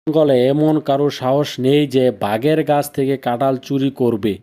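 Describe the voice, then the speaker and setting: A big, boastful cartoon tiger, acted by a man, full of angry bluster. He sounds threatening and sure of his own strength, with a mid-pitched male voice. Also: steady tone, moderate pace, warm tone, lightly acted. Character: angry, boastful, slow-witted strong tiger. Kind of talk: children's cartoon character acting.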